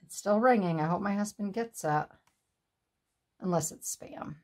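A woman in her sixties chatting at home while she makes a collage at her craft table, with a breathy, sniffly voice, laughing asides and remarks to herself. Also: medium pitch, a little slow. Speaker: craft channel host. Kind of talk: casual chat while making a collage. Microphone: overhead camera on the desk, hands only in frame.

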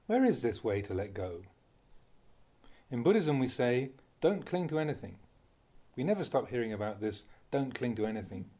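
A man reading a Buddhist Dhamma talk aloud as a monk, deliberately, in short phrases with pauses. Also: mid-range voice, very animated, mellow. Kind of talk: read-aloud Dhamma talk, measured teaching.

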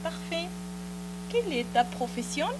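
A middle-aged Mexican woman with a Mexican Spanish accent teaches French in a TV studio, repeating phrases slowly and patiently into a handheld mic. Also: high-pitched, big pitch swings. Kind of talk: slow drilled language lesson. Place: small TV studio set. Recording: studio recording with audible mains hum. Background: mains hum.

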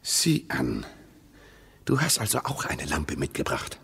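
An actor playing an insistent, mistrustful husband in a German radio play, speaking in clipped, deliberate phrases with a husky voice. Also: male voice, a bass voice, wildly swooping pitch, fairly bright tone, heavily acted. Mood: guarded, measured. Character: insistent, mistrustful husband exploring underground with his wife.

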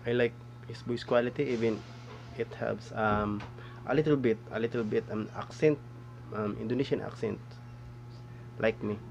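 A man in his thirties from the Philippines with a Filipino accent, hosting a casual music reaction video from a small room, enthusiastic and halting, with many fillers and repeated exclamations. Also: on the low side, vocal fry, a little mellow, lo-fi sound, a little hiss or hum. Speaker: reaction channel host. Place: small indoor room with patterned cloth backdrop. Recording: faint music playing behind.

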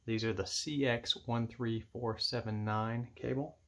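A man giving measured, matter-of-fact step-by-step instructions as he demonstrates an equipment setup indoors at a table. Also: medium pitch, steady tone. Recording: clear background noise with clicks.

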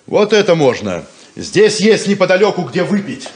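An actor playing a proud, lonely, guarded deputy sheriff in a 1970s radio play, terse and reserved. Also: male voice, sits high, big pitch swings, normal speed. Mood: polite, evasive.

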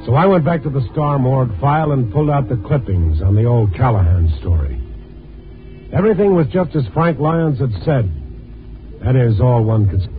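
An actor playing a wry, sympathetic newspaper reporter, narrating a 1950s radio drama in a measured way. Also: male voice, medium pitch, expressive, natural voice. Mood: wry, reflective. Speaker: lead and narrator. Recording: muffled 1950s radio recording with music.

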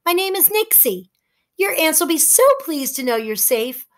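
A woman's voice: high voice, very animated, normal speed, voice pushed up. A woman voicing a tiny, cheerful female fairy who talks sweetly and eagerly. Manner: bright, gleeful and sweet.

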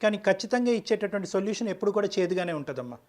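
Fast, high-pitched man giving counsel. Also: lively intonation, a little mellow. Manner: firm, didactic, mixes English phrases into speech. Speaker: counselor on a relationship advice show. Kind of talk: advice-giving counseling talk.

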